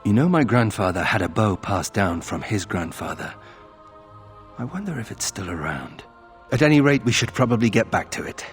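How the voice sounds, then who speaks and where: An actor playing a brooding young nobleman of a disgraced family in a video game, husky, wry and rueful in a conversational way. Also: on the low side, very animated, moderate pace, soft background music. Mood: rueful reflection. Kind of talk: video game character acting.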